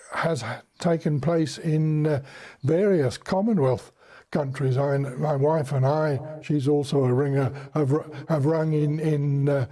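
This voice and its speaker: A man's voice: fairly high pitch, mellow. An elderly man with an English accent, recalling and explaining things as an interview guest in a courteous, formally worded, hesitant way. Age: 70s or older. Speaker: interview guest, bell ringer. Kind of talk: unscripted recollection and explanation in a broadcast interview.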